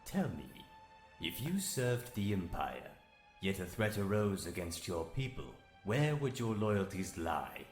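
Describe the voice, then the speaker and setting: Old galactic tyrant for audio comic. Deep, imperious, disdainful, probing; roomy echo around the voice. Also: male voice, sing-song, measured pace, darkish tone. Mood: probing, menacing. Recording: roomy echo, music behind.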